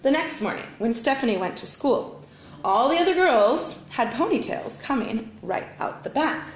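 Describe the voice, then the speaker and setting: A woman reading a children's storybook aloud like a teacher, with expressive, deliberate phrasing. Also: high voice. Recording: roomy, echoing indoor sound.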